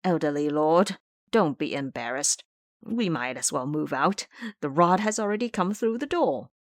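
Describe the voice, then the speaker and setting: A woman's voice: deep voice, expressive, moderate pace, no room sound, clean studio sound. A woman voicing a frightened male temple laborer in an audio drama, anxious and protesting. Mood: nervous, resigned.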